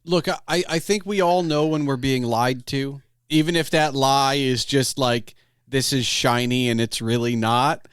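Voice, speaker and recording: Male podcast host, medium pitch, conversational and halting, close dry sound. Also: steady tone, smooth, no room sound. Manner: halting, repeats words as he thinks. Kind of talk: casual conversational interview.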